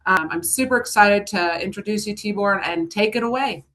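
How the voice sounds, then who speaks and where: Female webinar host, medium pitch, informal introductions to an online audience. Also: normal speed, a bit bright.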